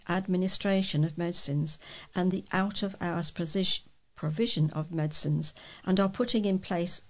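A woman's voice: fairly low pitch, darkish tone. A woman reading local newspaper reports aloud as a volunteer newsreader.

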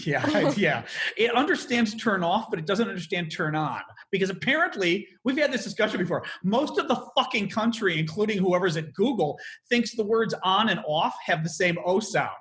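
Animated, high-pitched Chicago man. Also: normal speed. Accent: American Midwestern. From Chicago, United States. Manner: rambling, excitable, swears freely. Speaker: podcast host. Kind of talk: casual interview chat.

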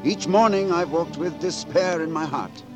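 An actor playing an ardent, impudent beggar poet in a 1940s radio drama, with florid, lyrical delivery. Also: male voice, a little high, normal speed, dull audio, lightly acted. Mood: wooing, playful. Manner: florid, lyrical, romantic declamation. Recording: old 1940s radio recording, music behind.

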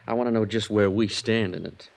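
A cautious small-town deputy sheriff in a 1950s radio drama. He talks plainly and warily, in a fairly low voice. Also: fairly even intonation, a little fast, creaky. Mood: wary. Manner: wary, plainspoken.

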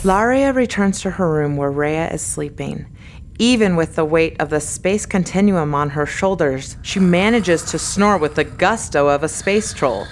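A woman's voice: low voice, animated, unhurried, slight background noise. A woman giving scripted dramatic narration as the narrator of a radio play.

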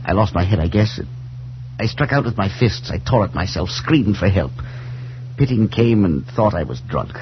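Muffled noisy old radio recording, male actor as haunted aging professor, low swooping voice, halting, frightened, 1940s drama style. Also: animated, natural voice. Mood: horrified. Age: older. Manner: halting, breathless, broken phrases. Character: haunted, terrified older male professor losing his grip.

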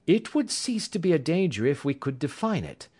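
A man reading an audiobook, voicing a sharp, aloof male detective in a crisp, assured and clipped manner. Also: fairly high pitch, very animated, normal speed, pitched above the performer's own voice. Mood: grave.

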